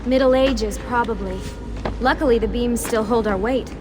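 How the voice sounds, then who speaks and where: Dry-witted young adventuress, played by a woman, high-voiced. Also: normal speed, natural voice. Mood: dry, teasing. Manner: teasing, deadpan. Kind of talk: video game dialogue acting. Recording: game music behind the dialogue.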